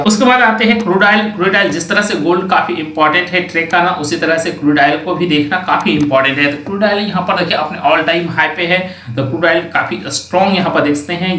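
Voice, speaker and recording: A man's voice: a little high, fairly bright tone. A man narrating a market chart walkthrough, explaining each index and reading out price levels as he points at the screen. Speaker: stock market analyst. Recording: roomy, distant mic.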